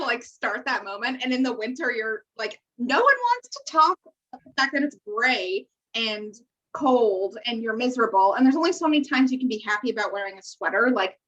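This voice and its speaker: A woman's voice: a little high, fairly bright tone. A woman podcast co-host chatting casually on a remote call, in a bubbly and chatty way. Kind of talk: casual chat. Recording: dull, lo-fi call audio.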